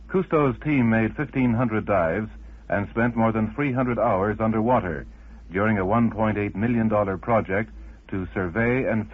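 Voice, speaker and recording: A man reading a scripted news report in the role of a news reader. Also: lowish voice. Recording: muffled old radio recording.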